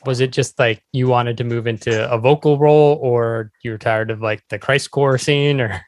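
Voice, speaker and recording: A man's voice: mid-range voice, steady tone, normal speed. A man hosting a podcast, asking questions in an informal, casual chat style. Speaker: podcast host.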